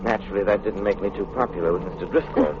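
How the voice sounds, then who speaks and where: An actor playing a suave, composed young gentleman detective in an old radio drama, speaking in a cultured, deliberate, assured manner. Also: fairly low pitch, lightly acted. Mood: composed, analytical. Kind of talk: 1930s radio drama acting. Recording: muffled old radio recording, clear noise.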